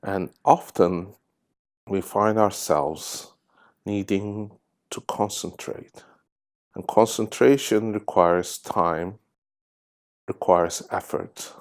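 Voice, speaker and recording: A man narrating a guided meditation in measured, deliberate phrases with pauses. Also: deep voice. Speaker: guided meditation host, hypnosis therapist.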